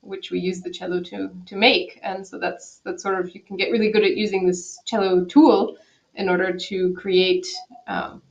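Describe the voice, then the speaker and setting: A woman's voice: medium pitch, lo-fi sound. A woman from Quebec, a cellist guest, answering thoughtfully in a casual interview over a video call, with many restarts. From Quebec, Canada. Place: home in Berlin.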